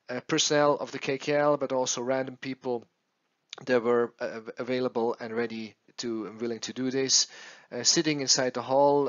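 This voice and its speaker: A man working as an acoustics consultant explains a case study over slides in a halting way, repeating words as he goes. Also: mid-range voice, a bit bright, dull audio. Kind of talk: slide presentation, explanatory narration.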